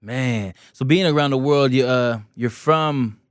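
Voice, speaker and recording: A man hosting a casual podcast interview, asking eager, relaxed questions with slang. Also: mid-range voice, unhurried, smooth, no echo, studio quality. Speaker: podcast host.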